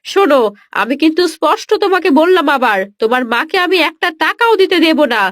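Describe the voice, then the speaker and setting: A woman playing a stingy young daughter-in-law in a cartoon story, speaking rapidly and insistently. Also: extremely high pitch, expressive, fairly bright tone, dry recording, clean studio sound. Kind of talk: cartoon moral story dialogue. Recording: music under the voice.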